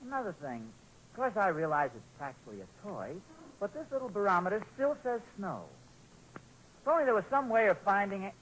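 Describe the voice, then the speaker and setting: A bright-voiced, hopeful young father in an old radio comedy. He sits a little high for a man and talks politely, with some anxious hesitation, over light vintage recording noise. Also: big pitch swings, a little fast, rough recording. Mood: worried, hopeful. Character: hopeful, anxious young father of small boys, storytelling dad. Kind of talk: 1940s radio sitcom acting.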